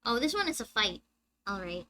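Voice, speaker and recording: A young woman with a high-pitched, squeaky voice, chatting with her viewers on a live game stream and reading the story text aloud. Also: big pitch swings, moderate pace, pushed and bright. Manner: chatty, reads dialogue aloud and answers chat.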